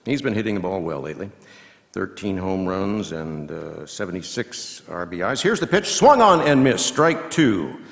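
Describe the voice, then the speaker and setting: A man playing a seasoned, folksy baseball radio announcer, giving play-by-play with measured asides that break into punchy, drawn-out calls. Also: low voice, sing-song, gravelly, heavily acted. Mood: punchy, excited. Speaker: reader performing a scripted baseball commentary. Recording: long hall reverb from live venue.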